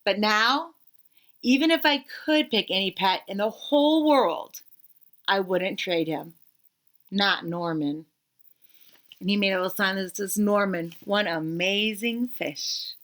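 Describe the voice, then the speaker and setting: A woman narrating a children's story aloud in an expressive, emphatic way with dramatic pauses. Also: mid-range voice.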